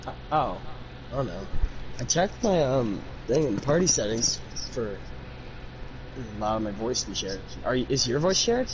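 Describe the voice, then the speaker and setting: A man's voice: very animated, a little slow. A man chatting casually between bouts of reading fan fiction aloud, playful and mock-fussy. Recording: noisy home mic with rumble. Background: clear background noise.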